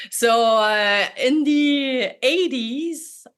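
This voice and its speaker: A woman's voice: on the high side, very slow. Hesitant woman. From Quebec, Canada. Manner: hesitant, many fillers and restarts, light laughs. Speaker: panelist, sauna operator. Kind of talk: panel discussion answer.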